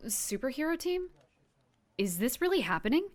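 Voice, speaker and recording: A woman's voice: high voice, big pitch swings, moderate pace. A woman playing an eager, earnest young new superhero in an audio drama. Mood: amazed, grateful. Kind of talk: scripted audio drama acting.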